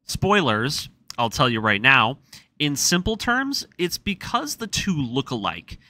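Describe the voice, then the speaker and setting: A man's voice: mid-range voice, big pitch swings, unhurried, sharp tone. A man hosting a scripted story breakdown, speaking in an informal, opinionated and emphatic way with casual asides. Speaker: video essay host. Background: background music.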